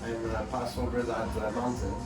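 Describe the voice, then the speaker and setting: Guy, chill and teasing, lots of uhs, far off the mic, loud music behind. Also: mid-range voice. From Quebec. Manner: relaxed and teasing, with many "uh" pauses. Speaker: guest, gym owner. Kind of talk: casual interview. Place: office in a gym. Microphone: no microphones, voice picked up from a distance. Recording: echoey room, voice picked up from afar.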